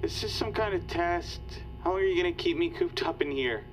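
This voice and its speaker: An actor playing an exasperated, anxious confined patient in an audio drama, calling out to unseen captors. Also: male voice, high voice, animated, a little fast, slight background noise, rough recording. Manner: agitated, calling out.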